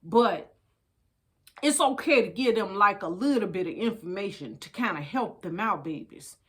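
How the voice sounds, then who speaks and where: A woman's voice: medium pitch, expressive, moderate pace, breathy edge. An older woman with an American accent, chatting casually and reading from a book in a folksy, emphatic way to her listeners. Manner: folksy, addresses listeners as babies, repeats phrases for emphasis. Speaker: storytime host. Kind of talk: casual chat with book read-aloud.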